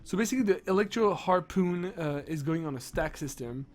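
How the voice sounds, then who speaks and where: A young man with a sick, strained voice, rambling excitedly through game patch notes with lots of restarts. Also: a little high, fairly quick. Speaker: gaming streamer. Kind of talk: casual patch-notes commentary.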